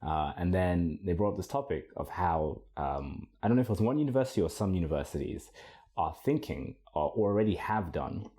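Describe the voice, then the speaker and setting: Male podcast co-host, very low pitch, warm dark tone, halting conversational delivery. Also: lively intonation. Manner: hesitant, thinking aloud. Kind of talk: casual podcast chat.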